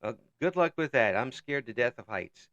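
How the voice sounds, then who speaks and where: Male interview host, bright tense tone, chuckly and stumbling, dry close sound. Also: mid-range voice, animated, moderate pace, dry recording, dull audio. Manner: chuckles often, stumbles and repeats words. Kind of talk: casual interview chat.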